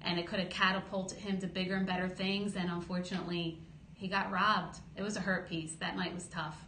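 A woman in her thirties, a boxing promoter, telling her story in a lively podcast interview at a close studio mic. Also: on the low side, fairly quick. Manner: lively storytelling, gestures while talking, acts out quotes. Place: small studio office, indoors. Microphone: studio boom mic close up. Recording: roomy studio with faint hum.

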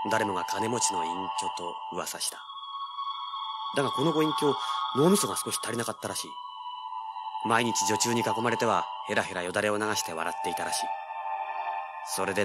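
An actor playing a brash young male treasure hunter in an audio drama, with a rough, slangy, hard-boiled delivery. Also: on the low side, close to an everyday voice. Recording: noisy old cassette audio drama.

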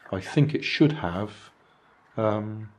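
Chatty older grandad, lowish voice. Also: male voice, animated, slow. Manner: dry, chatty, musing asides. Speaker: vlog host reviewing charity shop finds. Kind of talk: casual show-and-tell narration. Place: indoors at a table, hands on camera.

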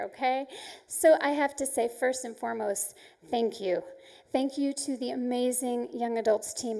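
Soft-spoken woman pastor, high voice. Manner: chatty, self-deprecating, with frequent restarts. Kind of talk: sermon to young adults. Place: large church stage. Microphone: handheld mic.

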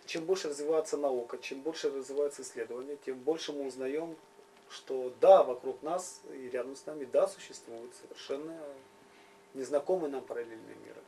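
Interviewer-interpreter: man, mellow tone, halting phrases, a little distant from the mic. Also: mid-range voice. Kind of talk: informal spoken interpreting and interviewing. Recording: slightly distant, faint noise and hum.

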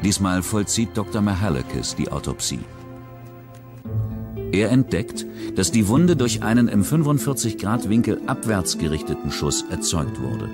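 A deep male voice narrates a crime documentary in steady, measured sentences. A clear music track runs under his voice throughout.

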